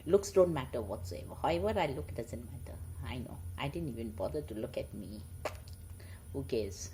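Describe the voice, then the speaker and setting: A woman with an Indian accent, sharing moral stories in an informal, animated way. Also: rumbling low, moderate pace, a little hiss or hum, poor audio. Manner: chuckling, animated, informal asides. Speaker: storyteller and host. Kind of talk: casual chat mixed with read-aloud moral tales.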